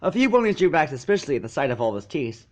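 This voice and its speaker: Animated male story narrator, lively pitch. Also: fast talker. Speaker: fan fiction narrator.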